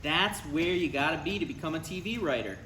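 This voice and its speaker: A man's voice: fairly high pitch, animated, fairly quick, bright, forceful tone. A man in his thirties playing an anxious, needy, defensive adult son in a live comedy table read, awkward and sarcastic. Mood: anxious, curious. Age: 30s. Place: live reading in a room. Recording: roomy live recording with clear background noise.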